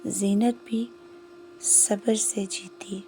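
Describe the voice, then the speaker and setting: A woman narrating a scripted moral story in short, measured phrases with pauses. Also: mid-range voice, fairly even intonation, warm tone, slight background noise, rough recording.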